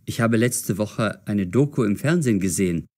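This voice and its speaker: Teenage boy sleuth, earnest, eager, lively swooping intonation, audio drama. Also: lowish voice, normal speed. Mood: puzzled, earnest. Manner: earnest, protesting. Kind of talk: audio drama for language learners.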